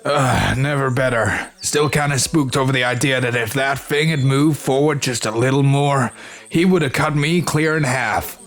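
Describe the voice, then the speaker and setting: A man's voice: medium pitch, moderate pace, a bit bright, lightly acted. A man playing a wry, banged-up soldier in an audio drama, casually teasing his squadmates. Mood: weary humour.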